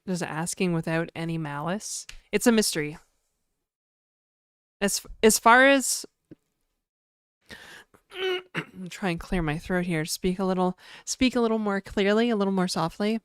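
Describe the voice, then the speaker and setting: Slow-talking hoarse Canadian woman streamer. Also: no echo, studio quality. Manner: rambling, joking, slips into mock French and a put-on high e-girl voice. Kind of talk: casual live-stream chat with viewers.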